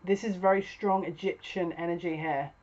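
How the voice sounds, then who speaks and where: A woman's voice: fairly low pitch, fairly even intonation, normal speed, darkish tone. Woman giving a tarot reading, dull audio. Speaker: psychic tarot reader. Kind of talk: casual spoken tarot reading to camera. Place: small furnished room at home, indoors. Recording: dull lo-fi webcam sound.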